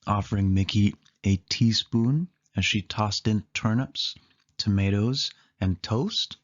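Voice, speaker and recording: A storyteller reading a children's book aloud in an animated way, lingering on listed words. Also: low-pitched, slow, highs cut off.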